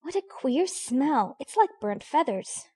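A woman's voice: sits high, sing-song, slow, dark tone, pitched above the performer's own voice, heavily acted. A woman voicing a superior, affected young girl, the youngest sister in an audiobook, airy and knowing. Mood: disdainful. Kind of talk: audiobook character voice.

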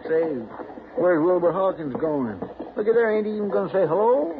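A folksy drawly cowboy deputy, bit high, tinny old radio sound. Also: male voice, animated, brisk, noisy background. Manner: folksy, rustic speech. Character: folksy drawling deputy, a plain frontier man. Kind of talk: 1950s radio drama acting.

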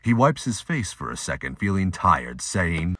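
A man's voice: low-pitched, very animated, measured pace, a bit bright, no room sound, studio quality. A man giving scripted, audiobook-style narration for an audio drama.

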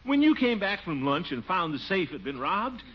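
An actor playing a pompous, easily flustered middle-aged police commissioner in 1940s radio comedy, with a blustery, exclaiming manner. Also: male voice, high-pitched, very animated, moderate pace, breathy edge, full character voice. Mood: bumbling, polite. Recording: muffled, noisy 1940s radio recording.

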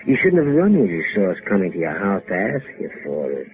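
An actor playing a menacing thug who taunts with mock sympathy in a 1950s radio crime drama. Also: male voice, low voice, wildly swooping pitch, fairly quick, full character voice. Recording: tinny band-limited 1950s radio recording.

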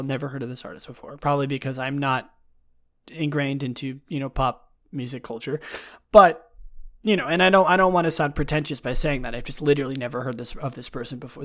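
A man with a raspy, worn-out throat hosting a casual solo episode. He rambles with frequent restarts and self-deprecating asides, and sometimes reads a written write-up aloud. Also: a little high. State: hoarse, raspy throat from talking a lot. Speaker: podcast host.

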